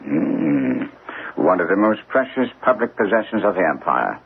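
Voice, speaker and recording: An actor playing a composed, shrewd English gentleman detective in a radio drama, speaking in a measured, courteous, probing way. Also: low voice, sing-song, breathy edge, heavily acted. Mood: shrewd, curious. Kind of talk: classic radio drama acting. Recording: tinny, band-limited old radio, faint hiss.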